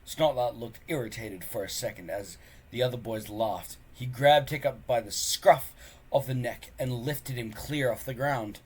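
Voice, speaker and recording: Slow-paced man reading a children's story. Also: medium pitch, breathy edge. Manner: halting, stumbling over words. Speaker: story reader. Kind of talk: reading a children's novel aloud, with restarts.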